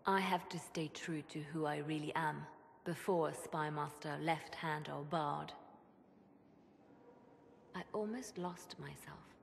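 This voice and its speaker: A woman's voice: low voice, slow, vocal fry, long pauses, full character voice. A woman playing a reflective, solemn former spymaster in a fantasy video game. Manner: solemn, introspective. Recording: echoing hall, faint ambient noise.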